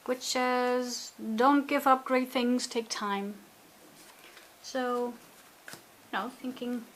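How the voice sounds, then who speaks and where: A woman's voice: on the high side, fairly bright tone, slight background noise, poor audio. A woman casually narrating a craft journal flip-through, chatty and gushing, often talking to herself. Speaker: craft video maker. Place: indoors at a table.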